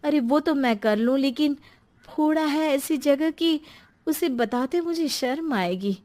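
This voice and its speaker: A woman's voice: high-pitched, unhurried, darkish tone. A woman playing a timid, long-suffering middle-aged housewife in a dramatised story, meek and hesitant. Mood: worried. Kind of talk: dramatised Hindi moral story.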